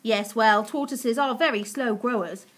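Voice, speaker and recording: A woman plays a shy, lovesick gentleman from a children's storybook. He speaks politely and solemnly in measured phrases, with some huskiness in the voice. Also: high voice, big pitch swings, breathy edge, exaggerated voice.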